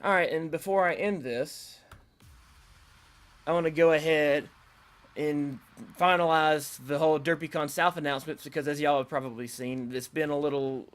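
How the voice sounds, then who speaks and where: A man making casual, unscripted announcements as host, rambling and hesitant, often rephrasing himself. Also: a little high. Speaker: host of an online creative group's announcement video. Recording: music playing behind the voice. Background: electronic dance remix music.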